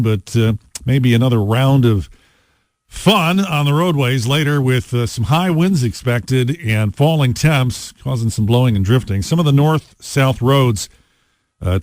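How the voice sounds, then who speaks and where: A man hosting a rock radio show, talking in a relaxed, chatty way with frequent fillers between songs. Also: medium pitch, no echo, studio quality. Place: radio studio. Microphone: studio microphone.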